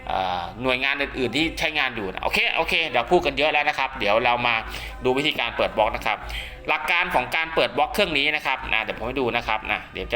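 A man's voice: medium pitch, bright, forceful tone. A man giving a casual spoken how-to demonstration, often checking that listeners follow along. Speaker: radio hobbyist presenter.

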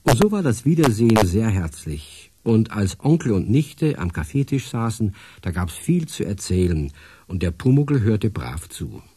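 A man's voice: on the low side, sing-song, normal speed, dark tone, husky. A man narrating a radio play as a storyteller.

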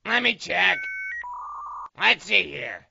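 A performer voicing a bossy, snide alien martial arts master with a raspy voice in a comedic anime parody dub. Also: mid-range voice, expressive, slow pace, bright, forceful tone, full character voice. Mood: snide, dry. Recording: muffled lo-fi dub with faint noise.

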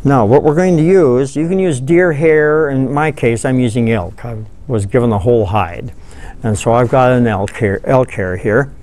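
An older man giving a relaxed, folksy fly-tying tutorial, thinking aloud as he works at a table in a studio. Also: mid-range voice, normal speed. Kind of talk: hands-on tutorial. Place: studio with dark backdrop, seated at table.

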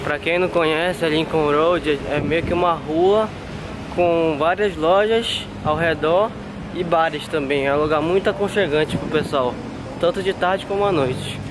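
A man's voice: fairly high pitch, unhurried, noisy background. A young man from Brazil, chatting upbeat to viewers while filming himself at arm's length in a busy store. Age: young adult. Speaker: travel vlogger. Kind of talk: casual vlog narration to camera. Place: busy electronics store and street outdoors. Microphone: handheld camera at arm's length. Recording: handheld camera in a crowded store. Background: loud crowd and music.

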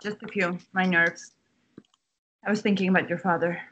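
A woman acting a nervous, doting, pushy widowed mother in a screenplay read, with a husky voice. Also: on the low side, fairly even intonation, normal speed, a bit bright, lo-fi sound. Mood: nervous, tender. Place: indoors on a group video call. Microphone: video call.

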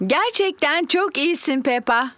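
A woman voicing a gentle, encouraging mummy pig in a children's cartoon dub, soothing and motherly. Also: high voice, lively intonation, unhurried, background noise.

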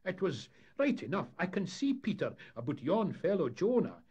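Man as a high, marvelling Scottish ship's mate. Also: sing-song, moderate pace, darkish tone, breathy edge, heavily acted. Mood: amazed. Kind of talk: storybook character voice in humorous tale.

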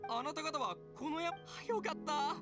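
Swooping, excitable young man. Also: high voice, moderate pace, vocal fry, music in the background. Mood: excited, eager. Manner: excitable, theatrical, presumptuous. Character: pushy, entitled young man seeking immortals' blessings. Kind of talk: video game voice acting.

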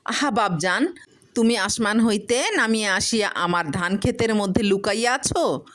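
A woman's voice: medium pitch, moderate pace, fairly bright tone, voice pushed up, lightly acted. A woman voicing a simple, tender poor male farmer in a storybook reading, pleading and exclaiming with affection. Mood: doting.

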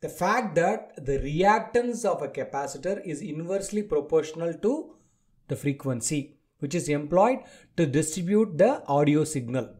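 A man with a fairly high, soft-toned voice delivers a prepared lecture. He reads deliberately, breaking the material into short phrases and numbered points. The timbre is mellow rather than sharp. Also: lively intonation. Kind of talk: scripted lecture read from slides.

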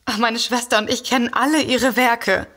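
An actress playing a haughty hostess with literary enthusiasms in a comedic audio drama. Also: female voice, fairly high pitch, fairly even intonation, moderate pace, a bit bright, lightly acted. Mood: admiring.

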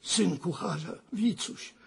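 An actor playing a frightened, superstitious old castle manservant in a radio play, hoarse and halting, with rustic, pious pleading. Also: male voice, sits high, exaggerated voice. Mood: grim. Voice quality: hoarse, creaky.

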